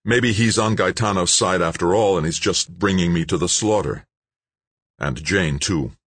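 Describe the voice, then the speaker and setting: A man's voice: low voice, moderate pace, fairly bright tone, husky, dry recording. A man voicing a determined, self-blaming hero in a novel, thinking aloud in a wry, muttering way. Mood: suspicious.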